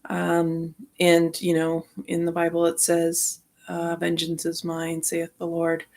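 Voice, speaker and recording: Woman, deep gravelly register, hesitant and meandering personal reflection, unhurried vlog delivery. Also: completely flat delivery, a little mellow. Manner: halting, trailing off mid-thought. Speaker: vlogger.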